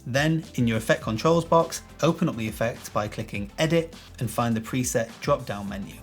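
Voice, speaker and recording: A man with a bassy voice, explaining a video-editing tutorial to camera in a chatty, self-deprecating way, recorded on a shotgun mic in a small room. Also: moderate pace, soft background music. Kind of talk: casual tutorial talk to camera. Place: small room with some echo. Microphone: shotgun microphone.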